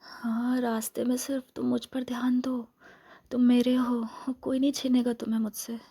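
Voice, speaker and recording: A woman playing a lonely, seductive vampire in a soft-spoken ASMR role-play, murmuring intimately and often whispering with lingering, coaxing words. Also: a little high, little pitch movement, normal speed, slightly rough. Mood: possessive, protective.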